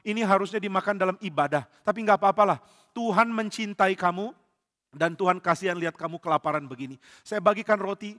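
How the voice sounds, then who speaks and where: Old mild priest, voiced by a man, soft-spoken and pleading, bright tone in an echoing hall. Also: sits high, fast. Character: old, gentle, frightened male priest. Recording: long hall reverb.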